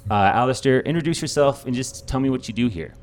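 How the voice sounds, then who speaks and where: A man's voice: medium pitch, animated, quick pace. A man hosting a podcast in a casual, conversational style. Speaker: podcast host.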